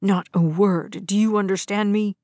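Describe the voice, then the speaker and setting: A stern, furious father, as a woman reader puts him on, speaking low with cold, controlled menace. The recording is dry and close, with no room sound. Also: little pitch movement, slow, a little mellow, no room sound. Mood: angry lecture. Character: stern angry middle-aged father.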